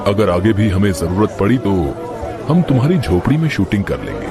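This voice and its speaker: A man playing a smitten, flattering film director from the city in a Hindi cartoon story. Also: on the low side, fairly quick, music in the background, crowd noise. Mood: polite. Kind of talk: Hindi animated story voice acting.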